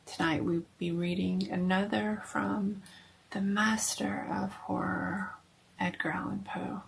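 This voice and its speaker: A woman hosting a horror reading series, giving a measured, theatrical dramatic narration of a classic scary story. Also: mid-range voice, flat delivery, gravelly, low-quality recording.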